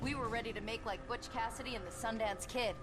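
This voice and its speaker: An actress voices a tough, practical female officer in an action game. Her voice is high and her delivery is direct and commanding. Loud music runs behind her. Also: fast talker, close to an everyday voice. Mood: brisk, steady. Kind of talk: video game voice acting.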